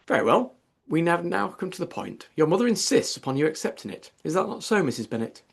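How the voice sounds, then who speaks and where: A man voicing a calm, ironic middle-aged gentleman father in an audiobook, speaking formally with measured deadpan. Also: a little high, gravelly, husky, pitched above the performer's own voice, full character voice. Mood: grave, authoritative.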